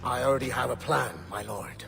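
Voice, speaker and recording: An actor playing a scheming, cruelly gleeful colonial general in a video game, with mocking, theatrical delivery. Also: male voice, mid-range voice, fairly even intonation, fairly quick, vocal fry, over music. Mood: eager, servile. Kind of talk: video game cutscene acting.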